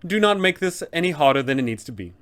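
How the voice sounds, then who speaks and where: A man's voice: on the high side, very animated, fast talker, a bit bright. A man voicing an officious, polite, fussy undead tomb custodian with formal announcer phrasing, as a tabletop villain. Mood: apologetic.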